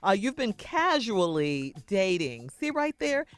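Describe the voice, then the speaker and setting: A woman's voice: mid-range voice, very animated, a little slow, clean tone, no room sound. An American woman hosting a conversational morning radio advice segment, speaking frankly and admonishingly. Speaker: radio host.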